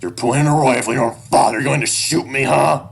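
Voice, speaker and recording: A man's voice: sits low, moderate pace, gravelly, slightly rough, heavily acted. A man voicing a stern, scornful animal father in an audio story, harsh, commanding and accusatory. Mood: disgusted, hateful.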